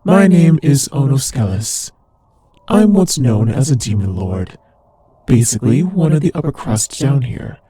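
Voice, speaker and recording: A man voicing a haughty, teasing demon lord in an ASMR roleplay, imperious and condescending, with a husky voice. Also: mid-range voice, lively intonation, measured pace, dark tone, no echo. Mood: imperious, menacing. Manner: imperious, condescending, playfully taunting. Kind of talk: ASMR roleplay audio drama addressed to the listener.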